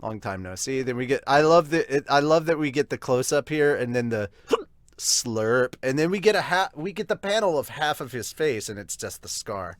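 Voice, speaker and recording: A man's voice: mid-range voice, very animated, normal speed, dry recording. A man casually reacting to a manga chapter as a podcast host, laughing and restarting as he goes, with hiccups.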